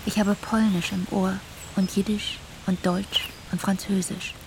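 A woman's voice: medium pitch, flat delivery, unhurried, creaky, background noise. An actress playing a solitary, introspective woman in a restrained radio play monologue. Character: introspective solitary woman recalling memory and family.

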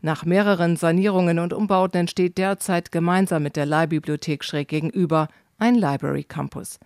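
A man reading scripted documentary narration for a travel report, measured and descriptive. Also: a little high, steady tone, no room sound, studio quality.

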